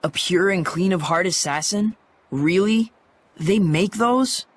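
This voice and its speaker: A man's voice: sits high, takes it slowly, breathy edge, exaggerated voice. An actor playing a skeptical, wry but loyal young male companion in a video game, speaking articulately. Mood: incredulous. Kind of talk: English video game dub acting.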